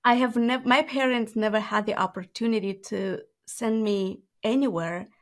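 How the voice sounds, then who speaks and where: A woman's voice: on the high side, fairly even intonation. A woman in her thirties from Moldova with a Moldovan accent, a Romanian native speaker telling her story earnestly as an interview guest. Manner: quick, earnest storytelling with light laughs. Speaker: guest entrepreneur. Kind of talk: conversational interview.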